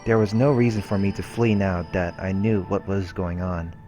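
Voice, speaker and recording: Deep-voiced man reading game narration. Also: little pitch movement, moderate pace, dark tone. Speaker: let's play host. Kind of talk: reading visual novel narration aloud. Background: game background music.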